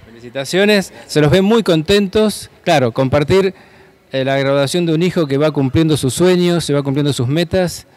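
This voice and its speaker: A man's voice: on the high side, normal speed, a little mellow. A man with an Argentine accent, a reporter asking friendly, upbeat questions at an indoor event. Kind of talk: on-the-spot event interview. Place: indoor school hall. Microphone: handheld microphone.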